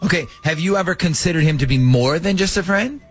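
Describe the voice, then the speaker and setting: A man's voice: a little high, quick pace, a bit bright, soft background music. A man hosting a morning radio show, chatting with a caller and steering the call. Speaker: radio host. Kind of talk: morning radio phone segment.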